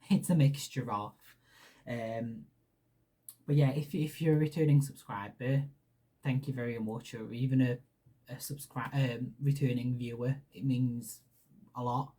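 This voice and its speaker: A young man with a Hungarian accent tinged with Lancashire chats loosely as a podcast host, tripping over restarts and filling gaps with ums. His voice is mellow and warm, with a soft husky edge. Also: medium pitch, steady tone, unhurried, pauses often. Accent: Hungarian with Lancashire English. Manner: rambling, many restarts and ums, laughs shyly. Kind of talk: casual solo podcast chat.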